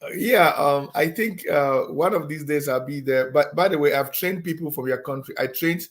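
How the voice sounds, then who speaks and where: A man's voice: fairly high pitch, moderate pace. A middle-aged man from Cameroon, a guest in an interview, telling his story with frequent hesitations and ums. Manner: hesitant, many ums and uhs.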